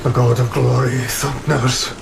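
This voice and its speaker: An actor playing a weary, devout old sea captain in a gothic horror audio drama, grave and deliberate. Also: male voice, medium pitch, level tone, creaky, heavy background noise. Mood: solemn, reverent. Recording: storm rain and thunder over voice.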